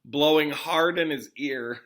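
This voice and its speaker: A man's voice: on the high side, slow pace, fairly bright tone. A man who is a classroom teacher, reading a storybook aloud expressively with casual, informal asides to pupils.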